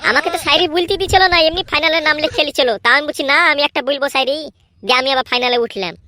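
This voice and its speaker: Woman voicing brash, high-pitched little boy. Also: quick pace, pushed and bright, no echo. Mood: boastful. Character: brash, bragging young boy in comedy cartoon. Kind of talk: comedy cartoon voice acting.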